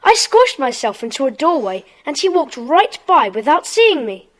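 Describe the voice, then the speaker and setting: A woman playing an excited schoolboy detective, earnest and eager, in a children's audio drama. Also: high voice, moderate pace, low-quality recording. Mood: excited, puzzled.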